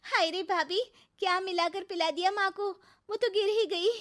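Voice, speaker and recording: Woman playing a snarky, high-pitched young sister-in-law. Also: fairly even intonation, normal speed, a bit bright. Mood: alarmed. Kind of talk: Hindi cartoon story acting.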